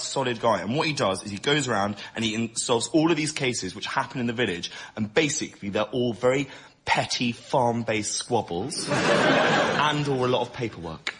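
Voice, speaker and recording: Understated man, noisy recording. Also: mid-range voice. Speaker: comedy panellist. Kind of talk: conversational comic pitch. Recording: clearly noisy radio studio recording.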